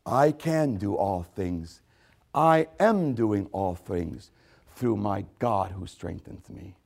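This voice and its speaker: A man preaching a sermon, reading scripture aloud and expounding on it. He repeats key phrases for emphasis and swings between loud exclamations and hushed asides. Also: fairly low pitch, animated, slow pace, vocal fry.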